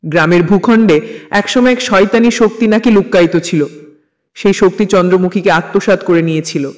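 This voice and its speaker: A man's voice: high-pitched, a little fast. A man reading a scripted first-person horror story in a dramatic, suspenseful storytelling manner.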